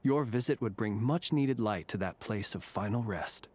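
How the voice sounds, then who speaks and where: A man voicing a courteous, eccentric graveyard warden in a video game, speaking in a formal, measured, genteel way. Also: fairly low pitch, animated, darkish tone, slightly rough. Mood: wry, courteous. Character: courteous, eccentric gentleman graveyard warden, calm and faintly eerie. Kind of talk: video game character dialogue.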